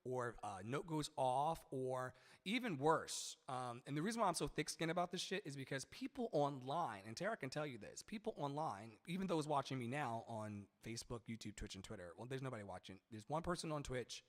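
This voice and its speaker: Boomy-voiced man teaching singing, reverb-soaked. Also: expressive, a little fast. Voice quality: boomy low-end baritone-tenor voice. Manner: rambling, chatty, profane, sings snatches mid-talk. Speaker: singer and live-stream singing teacher. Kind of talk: casual live-stream talk with sung demos. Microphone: studio mic with added reverb. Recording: reverb-drenched streaming mic.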